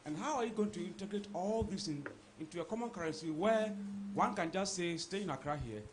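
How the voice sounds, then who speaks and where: Reporter guy asking from the floor, stumbly, slightly high, bit of hiss. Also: animated. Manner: halting, stumbles over words. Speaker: journalist asking a question.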